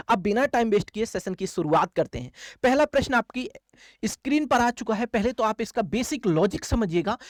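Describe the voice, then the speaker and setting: A man's voice: high voice, dry recording. Male teacher, dry close sound. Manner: addresses learners familiarly, repeats key phrases, asks and answers own questions. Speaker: exam coaching maths teacher. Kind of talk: classroom lecture, step-by-step explaining.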